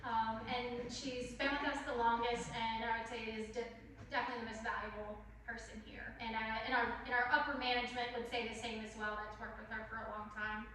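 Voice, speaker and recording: A woman's voice: on the high side, little pitch movement, fairly quick, fairly bright tone, a little hiss or hum. A woman in a property office team, talking casually with many ums and restarts in a team video. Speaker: property office staff member. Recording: echoey room from far off.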